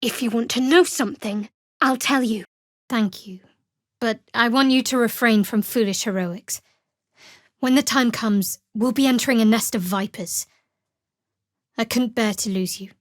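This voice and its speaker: A woman acts out a young female cleric from a fantasy video game, guarded and secretive. Her voice sits on the high side and is recorded close and dry. She speaks in a measured, wry way, with a sardonic edge. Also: no room sound, lightly acted. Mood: quiet, confiding. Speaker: video game character voice actor. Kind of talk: video game voice lines, dramatic dialogue and spell calls.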